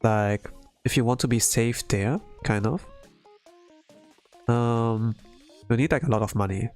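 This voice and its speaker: A man's voice: mid-range voice, fairly even intonation, unhurried, a little mellow, faint music behind. A young man from Germany with a German accent, chatting casually on his art stream and rambling with lots of fillers. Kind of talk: casual chat while drawing on stream.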